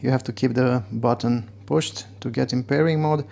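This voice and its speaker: Mellow, gravelly Romanian-accented man. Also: mid-range voice, steady tone. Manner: halting, searching for words. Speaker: motorcycle gear reviewer. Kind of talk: casual unboxing and demo talk.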